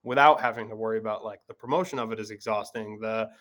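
A man's voice: on the low side, fairly even intonation, fast, a bit bright. A man hosting a podcast interview, talking casually with many filler words. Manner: easygoing, lots of filler words. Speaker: podcast host.

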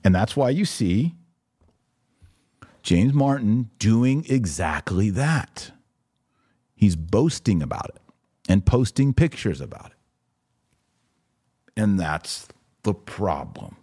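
A low, mellow-toned man delivers religious commentary as a podcast host. His voice is slightly husky and very dryly recorded, and he explains things in an emphatic, conversational teaching manner. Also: big pitch swings, takes it slowly, long pauses, no room sound, studio quality. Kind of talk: live religious commentary, answering listener questions.

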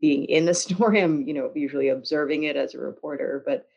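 A woman's voice: deep voice, brisk, creaky, a little mellow, breathy edge. American woman in dull, lo-fi audio. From United States. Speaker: interview guest, journalist and author. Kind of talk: conversational storytelling.

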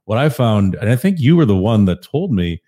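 Casual podcast guest: deep-voiced man, calm and laid-back, self-deprecating. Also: brisk. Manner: relaxed, self-deprecating, chuckling often.

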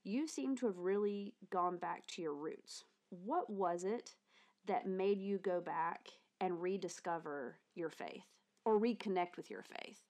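A woman's voice: lowish voice, unhurried, darkish tone. Upbeat woman podcast host. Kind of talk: warm podcast interview and introduction.

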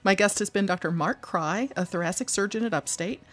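A woman hosting a radio health talk show, interviewing guests with brisk, short prompts and acknowledgments. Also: medium pitch.

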